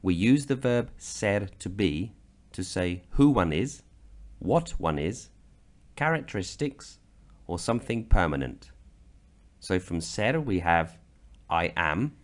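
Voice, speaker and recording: A man from Britain narrating a slideshow grammar lesson, explaining slowly and deliberately. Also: deep voice, big pitch swings. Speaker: language teacher.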